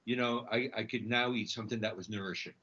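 A middle-aged man from Chile telling his own story conversationally as a guest, halting and repeating his words. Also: on the low side, steady tone, sharp tone, husky. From Chile, emigrated to the United States. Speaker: podcast guest, snack bar entrepreneur. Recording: dull, lo-fi audio.

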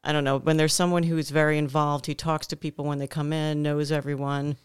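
A woman's voice: sits low, little pitch movement, fairly quick, smooth, no room sound, clean studio sound. A woman on the gym staff chatting casually on a podcast, telling a story at length.

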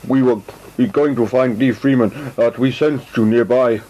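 Man doing kindly cautious ally, gentle, hesitant, formal, faint hiss. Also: mid-range voice, fairly even intonation, unhurried, muffled recording, natural voice. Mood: concerned.

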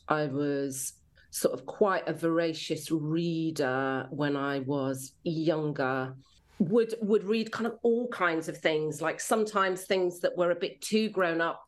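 A woman with a low voice speaks as an interview guest. She has a stammer and talks reflectively, haltingly, often repeating a phrase as she goes. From rural Devon, England. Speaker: podcast guest, drama commissioning editor. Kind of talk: reflective casual interview answers.

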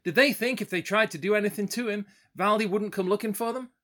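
A man voicing a boastful, furious young drunk who shouts in a high, strained voice. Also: fast. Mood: defiant.

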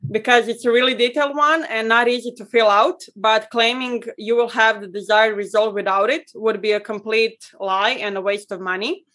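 A woman's voice: fairly high pitch, fairly even intonation, normal speed, few pauses, bright, forceful tone. A woman from Croatia, a copywriter and podcast guest, explaining her work in a friendly way with light laughs. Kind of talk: interview answers.

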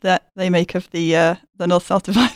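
A woman from Southport, England, guesting on a podcast and speaking in a measured, slightly hesitant way. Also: fairly low pitch, flat delivery, clean tone, dry recording, studio quality. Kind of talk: casual chat.

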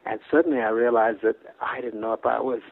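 A man in his nineties from Brooklyn, a guest telling long, rambling anecdotes that trail off softly, with restarts and chuckles. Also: medium pitch, flat delivery, fast, vocal fry. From Brooklyn, New York. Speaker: interview guest. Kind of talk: casual storytelling interview. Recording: phone-like narrow band.

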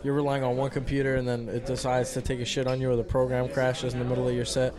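A man's voice: mid-range voice, monotone, fast. A man hosting a casual live interview in a pub, laid-back and swearing freely. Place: crowded live music pub. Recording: noisy crowded pub. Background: crowd chatter.

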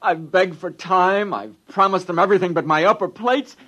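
Panicky, whining, high-voiced scientist. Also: male voice, moderate pace, sharp tone. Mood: desperate. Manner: pleading, stammering, flustered. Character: panicky, sniveling male publicity-minded scientist. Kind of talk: 1950s radio drama acting.

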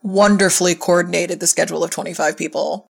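A woman's voice: lowish voice, steady tone, fast, creaky, breathy edge. A woman who directs and writes audio drama, talking casually in a podcast conversation.

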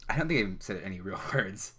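A young man co-hosting a casual podcast, with a bright, forceful edge to his voice. He rambles through his stories with many restarts and laughs easily along the way. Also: low voice, lively intonation, racing, breathy edge. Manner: rambling storyteller, laughs often. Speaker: podcast co-host. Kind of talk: casual chat.

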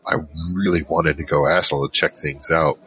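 Very deep-voiced hesitant storyteller guy, played by a man. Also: fairly even intonation, moderate pace, bright, forceful tone. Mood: reflective, conversational. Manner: conversational, hesitant, searching for words. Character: tall, curious, hesitant awakened man recounting his adventure. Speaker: narrator and lead character. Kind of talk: in-character first-person scripted narration.

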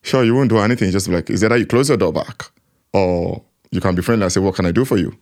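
A man's voice: deep voice, fast, darkish tone. A man giving a personal account in an interview, reflective and acting out his own inner voice.

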